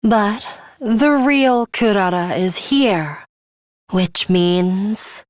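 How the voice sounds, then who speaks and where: A woman's voice: medium pitch, extremely slow, no echo, clean studio sound. An actress playing a stern, composed young woman fighter in an English video game, blunt and guarded, with clipped, formal, matter-of-fact delivery. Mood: commanding, terse.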